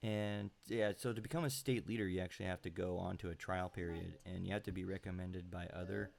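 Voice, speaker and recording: A man's voice: low-pitched, steady tone, brisk, vocal fry, a little mellow. A man hosting a casual live stream from home, sounding congested and rambling with lots of fillers and little chuckles into a computer mic. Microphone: home computer mic with high gain.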